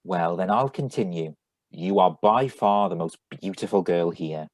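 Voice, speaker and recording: A man's voice: low-pitched, measured pace, a little mellow. An actor playing an earnest young man in love in a live radio drama reading. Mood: admiring, playful.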